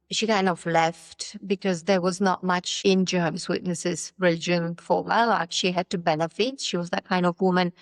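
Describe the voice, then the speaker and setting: A middle-aged woman with a Polish accent, speaking Polish as her first language, is a guest telling her life story in a thoughtful, halting way over a video call. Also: on the low side, no echo. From Poland. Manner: thoughtful, halting, with restarts and fillers. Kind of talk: personal story interview.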